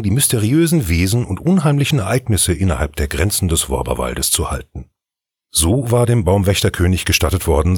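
Male fairy tale narrator, husky and expressive, recorded very dry and close. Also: sits low, no echo. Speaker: storyteller, narrator.

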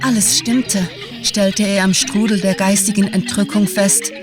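A woman narrates a scripted story at a slow, measured pace. Her pitch sits in the middle range for a woman, and music plays clearly behind her voice. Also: steady tone.

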